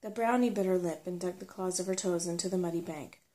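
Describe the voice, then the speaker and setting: A woman narrating a storybook in ASMR style, soft, hushed and soothing. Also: on the low side, quick pace.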